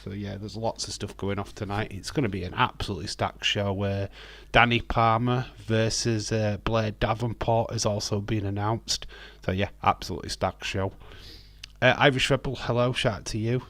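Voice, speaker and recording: A man with a slightly croaky voice hosting a live stream, chatting in a rambling, friendly way with lots of hesitant fillers. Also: on the low side, fairly even intonation, moderate pace, fairly bright tone, no echo. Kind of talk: casual live watch-along chat, reading viewer comments.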